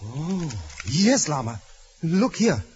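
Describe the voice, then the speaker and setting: A man's voice: fairly high pitch, very animated, takes it slowly, heavy background noise. A man playing a devoted, deferential assistant who speaks formally in a 1940s radio drama. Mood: curious, deferential. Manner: formal, respectful. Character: deferential, earnest male assistant and narrator, devoted follower. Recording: muffled old radio recording.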